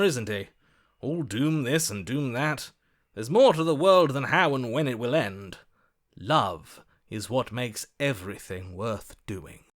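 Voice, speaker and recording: A man's voice: medium pitch, a bit bright. A man playing a florid, passionate, lovestruck romantic in a video game, speaking in a theatrical, grandiloquent manner. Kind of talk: video game character acting.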